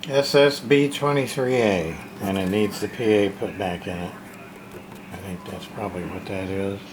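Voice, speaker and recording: A man's voice: deep voice, normal speed, background noise. A man casually narrating a hands-on unboxing as a radio repair hobbyist, talking to himself in folksy short fragments.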